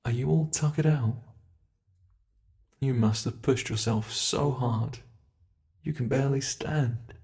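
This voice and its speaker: A man's voice: mid-range voice, slow pace, creaky, long pauses, a little mellow. A man voicing a centuries-old predatory monster in an ASMR roleplay, theatrical and taunting, savoring each phrase with haughty, possessive menace. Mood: grudgingly gentle.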